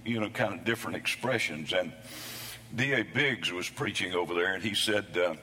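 A man preaching a sermon, his voice hoarse from losing it between services, with a folksy, self-deprecating humor. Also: deep voice, moderate pace, pushed and bright, long reverb tail, poor audio. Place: church sanctuary.

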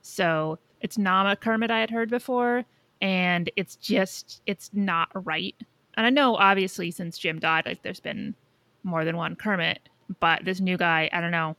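A woman's voice: lowish voice, measured pace, pushed and bright, no room sound. A woman co-hosting a casual podcast about film and TV, talking in an emphatic, conversational way. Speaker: podcast co-host.

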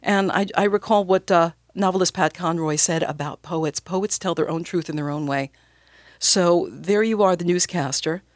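A woman who works as a radio newscaster, speaking conversationally in an interview with expressive, theatrical, emphatic phrasing. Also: on the low side, normal speed, no echo.

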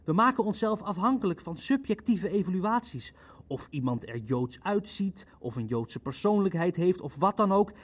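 An actor in an audio drama playing an indignant Nazi jurist who argues formally and insistently for rigid legal order. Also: male voice, sits high, slightly rough, full character voice.

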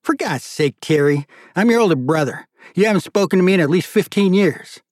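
A man's voice: mid-range voice, measured pace, dry recording, studio quality, close to an everyday voice. A man voicing an agitated, impatient older brother with a thick Manhattan accent, whining and incredulous. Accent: New York (Manhattan). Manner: incredulous, whiny, exasperated.

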